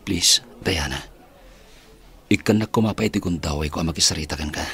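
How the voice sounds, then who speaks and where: An insistent police chief pleads and flatters in a very low, forceful voice. He has an Ilocano Filipino accent and the style of radio drama. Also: fairly quick, creaky, exaggerated voice. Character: insistent male police chief courting an unwilling woman.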